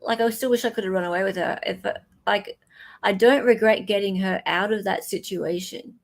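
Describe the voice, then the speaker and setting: A woman with a medium-pitched, gravelly, fry-laden voice gives casual commentary as a livestream host. She talks conversationally and repeats her points for emphasis. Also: fairly even intonation, normal speed.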